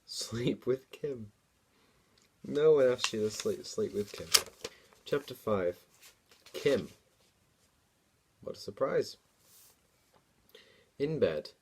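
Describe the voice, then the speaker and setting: A man's voice: medium pitch, expressive, slow pace, pauses often, darkish tone, slight background noise. A man hosting a dramatic story reading, mixed with amused, informal comic commentary. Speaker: host reading his own childhood story.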